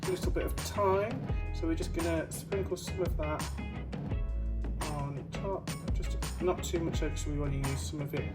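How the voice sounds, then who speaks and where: A woman's voice: low voice, fairly even intonation, normal speed. A woman hosting a cooking show, casually talking through each step as she works, recorded at a distance. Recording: distant mic, loud music behind. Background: music, clattering dishes.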